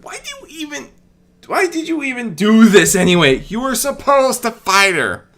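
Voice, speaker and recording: A performer voicing a hot-tempered, exasperated female fighter, shouting indignantly in a storybook. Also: medium pitch, very animated, normal speed, voice pushed up. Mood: furious.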